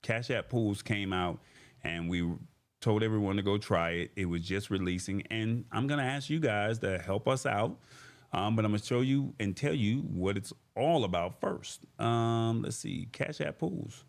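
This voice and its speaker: Man, lowish voice, tech livestream host, casual chat, informal, folksy, viewer-directed. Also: moderate pace, gravelly. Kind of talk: casual livestream chat, reading comments and news.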